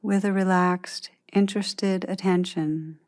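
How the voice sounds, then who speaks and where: A woman guiding a meditation in a gentle, unhurried, soothing manner, giving calm instructions with long pauses. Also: mid-range voice. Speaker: meditation teacher guiding a practice. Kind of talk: guided meditation, scripted instruction.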